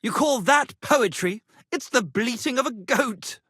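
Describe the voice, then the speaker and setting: Husky, flamboyant medieval tavern poet. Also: male voice, extremely high pitch, expressive, unhurried, bright, forceful tone, no echo, clean studio sound, full character voice. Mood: scornful indignation. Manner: florid, theatrical, pompous. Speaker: video game voice actor. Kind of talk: theatrical game character dialogue.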